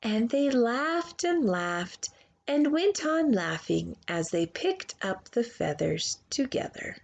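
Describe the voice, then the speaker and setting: A woman reading a children's storybook aloud expressively, with theatrical pauses and hushed emphasis. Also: a little high, sing-song, vocal fry. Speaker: children's book reader.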